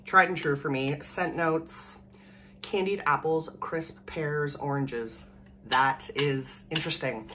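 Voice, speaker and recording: A woman in her thirties from Canada, hoarse from a cold, chatting casually and rambling to the camera at home. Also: low-pitched, slow, bright, forceful tone, a little hiss or hum. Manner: rambling, chatty, laughs often. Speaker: vlogger. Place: small room at home, indoors. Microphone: camera in front at desk distance.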